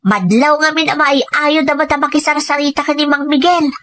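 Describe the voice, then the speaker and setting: A woman's voice: sits high, a little fast, a bit bright, no echo. A woman playing an eager, persuasive young female student in an Ilocano audio drama.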